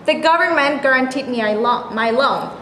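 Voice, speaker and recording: A woman's voice: sits high, moderate pace, fairly bright tone. A woman playing a naive, anxious, jobless young woman in an amateur staged play reading, earnest and plaintive. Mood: rueful. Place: echoey room, staged reading. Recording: echoey room, clear background noise.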